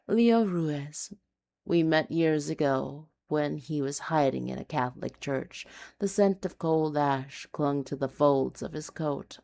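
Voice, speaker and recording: A woman reading a noir urban fantasy story in a hushed, dramatic, deliberate way. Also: low-pitched, vocal fry. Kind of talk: scripted noir confessional storytelling.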